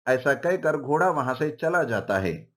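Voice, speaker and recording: A man's voice: medium pitch, animated, a little slow, a bit bright. A man giving scripted narration for a children's moral story.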